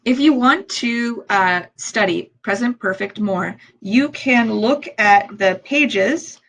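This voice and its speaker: A woman who is a native English speaker, teaching language learners step by step in a deliberate way, repeating key phrases and posing questions. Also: fairly high pitch, a bit bright, poor audio. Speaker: ESL teacher.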